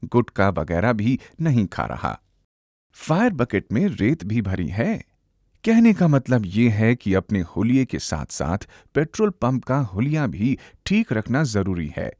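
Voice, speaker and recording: Deep, very animated male instructional narrator. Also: no room sound, clean studio sound. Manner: emphatic, instructive, addressing viewers directly. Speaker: narrator, presenter.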